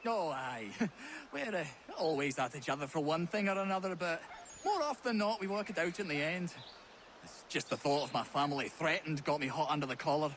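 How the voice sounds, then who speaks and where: A hot-headed, good-natured colonial villager in a game cutscene. His voice is high and animated, with faint outdoor noise behind it. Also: fairly quick. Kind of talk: video game cutscene acting.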